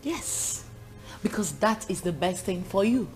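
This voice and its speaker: A woman's voice: medium pitch, animated, unhurried, music in the background, lightly acted. A woman acting as a scornful, hot-tempered housemate in a film drama, scolding sarcastically and emphatically with a Nigerian accent. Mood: firm.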